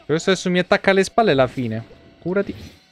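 A man's voice: sits high, animated, fast talker. A young man giving casual live game commentary, muttering and swearing in exasperation to his viewers. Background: video game music.

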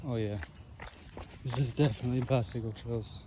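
A man's voice: mid-range voice, fairly even intonation, moderate pace. A young man giving casual, off-the-cuff commentary in a laid-back, slangy way as he vlogs on a handheld camera. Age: young adult. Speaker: vlogger. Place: outdoors on a wooded trail. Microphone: handheld phone or camera at arm's length. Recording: outdoor nature ambience, faint noise.

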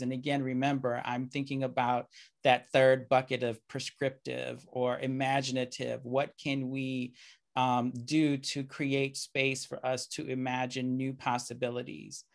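Gravelly-voiced man giving a thoughtful lecture. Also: medium pitch, level tone. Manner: measured, reflective, many um and you know fillers. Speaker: keynote speaker. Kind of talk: lecture over slides. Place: indoor room, video call.

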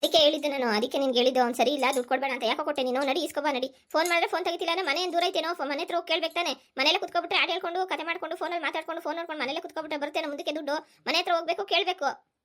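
Woman acting a high-voiced, scolding village mother. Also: steady tone, fairly bright tone. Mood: scolding, sarcastic. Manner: nagging, sarcastic, rapid-fire complaining. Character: scolding, exasperated village mother with a grown son. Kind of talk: family comedy drama for a cartoon story.

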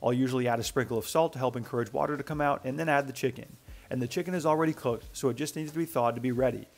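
A man in his twenties narrating a cooking tutorial as a voice-over, brisk and matter-of-fact with dry humor. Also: mid-range voice, vocal fry. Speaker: cooking channel host.

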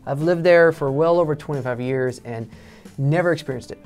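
A man in his thirties from Texas with a Texan accent, presenting an English lesson in a relaxed, chatty way from a studio. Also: on the high side, fairly quick, faint music behind. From Texas, United States. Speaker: English teacher. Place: studio in front of a backdrop.

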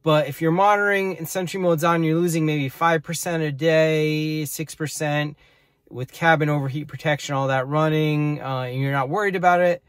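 A man's voice: on the high side, steady tone, normal speed, no room sound, clean studio sound. A middle-aged man explaining car features in a casual vlog from inside a parked car, filming on a phone held at arm's length. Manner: plain, matter-of-fact explaining. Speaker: car tech vlogger.

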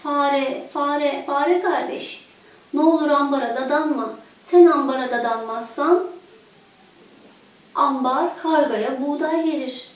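A woman voicing a small male flea in a children's tale, pleading eagerly and insistently. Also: high-pitched. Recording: echoey room, distant microphone.

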